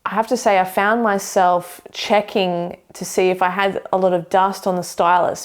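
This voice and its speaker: A young woman seated in a living room, giving a measured, detailed review of speakers to camera. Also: on the low side. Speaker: audio equipment reviewer. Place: living room with hi-fi shelves, indoors.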